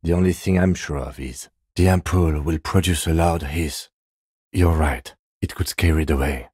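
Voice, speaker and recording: A middle-aged police detective in a video game, with an extremely low male voice. He is composed and precise, choosing his words with restraint. The sound is dry and close. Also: fairly even intonation, normal speed, a little mellow, slightly rough, dry recording. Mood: tense, hushed focus. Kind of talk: video game voice acting, understated dialogue.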